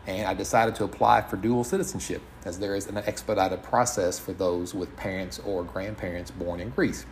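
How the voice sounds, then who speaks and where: A man hosting an informal solo podcast in a halting, measured way. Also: low voice, a little mellow. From United States. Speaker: podcast host. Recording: audible background noise.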